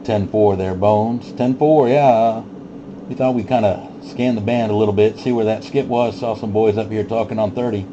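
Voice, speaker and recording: A man's voice: lowish voice, steady tone, moderate pace. A man talking casually and laid-back in CB radio lingo, as an operator hosting a channel night. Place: home base radio station. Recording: noisy, dull CB radio audio with static hum.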